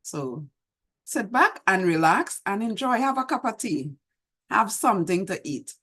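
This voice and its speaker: Trini lady, middle-aged, takes her time, soft reminiscing poetry read on a video call. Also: mid-range voice, big pitch swings, slightly rough. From Trinidad and Tobago. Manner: slow, gentle and reminiscing. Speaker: host. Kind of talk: reflective talk and poetry reading. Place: small room at home. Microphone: video call webcam.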